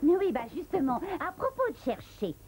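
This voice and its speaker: Casting: demanding busybody neighbour for animation, prim, high, very animated delivery, some noise behind. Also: female voice, brisk. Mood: smug. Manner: insistent, prim. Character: pushy, fussy, demanding neighbour lady, self-righteous. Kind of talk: French animated series dubbing.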